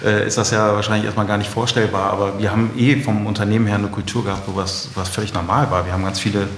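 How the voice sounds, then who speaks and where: A middle-aged man, a company co-founder, answers interview questions in a reflective, conversational way, often softening points as his own opinion. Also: on the low side, flat delivery, fast talker, a little hiss or hum. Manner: reflective, hedging with frequent 'I think'. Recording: roomy, echoing interview recording.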